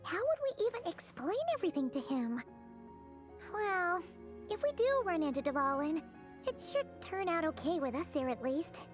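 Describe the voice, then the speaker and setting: A woman voicing a tiny, excitable fairy companion with a squeaky childlike voice in a video game. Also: moderate pace. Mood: concerned. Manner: excitable, speaks of self in third person. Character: tiny chirpy floating fairy companion, bubbly and bossy. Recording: background music.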